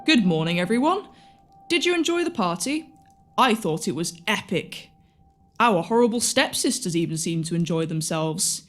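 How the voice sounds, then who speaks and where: A woman's voice: fairly low pitch, expressive, moderate pace, soft background music, lightly acted. A woman playing a timid, chatty young servant with a nervous manner in an audio pantomime.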